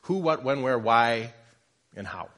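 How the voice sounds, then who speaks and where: A man's voice: medium pitch, expressive, unhurried, a bit bright. A man with a slight cold giving an informal, conversational sermon in church, joking with the congregation. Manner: conversational, jokes with congregation, self-deprecating. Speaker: lay preacher.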